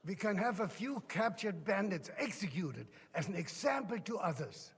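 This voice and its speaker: A man playing a meek, apologetic royal official in a video game, husky and placating. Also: sits high, sing-song, moderate pace, full character voice. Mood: anxious, placating. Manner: hesitant, placating. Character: meek, apologetic official answering to his king. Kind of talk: video game drama acting. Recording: long hall reverb.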